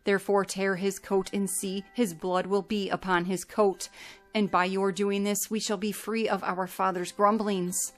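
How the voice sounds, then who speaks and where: A calculating grown brother lays out his plan in an even, persuasive way , read by a woman storyteller. Her voice stays at a middle pitch, and soft music plays faintly behind. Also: measured pace, lightly acted.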